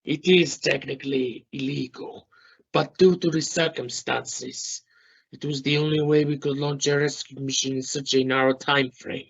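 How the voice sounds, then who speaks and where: A man's voice: mid-range voice, steady tone, creaky, fairly bright tone, breathy edge. Dull-sounding, brusque scientist, acted by a man. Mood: curt, firm. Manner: formal, measured, slightly halting phrasing. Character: brusque male Russian space scientist and mission captain. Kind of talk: scripted radio play interview. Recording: dull lo-fi recording.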